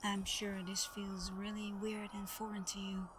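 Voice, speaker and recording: A woman playing Santa's gentle, shy adopted daughter in an intimate ASMR roleplay, soft-spoken, tender and a little hesitant. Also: medium pitch, completely flat delivery, measured pace, lightly acted. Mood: soft, teasing. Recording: clearly noisy background ambience.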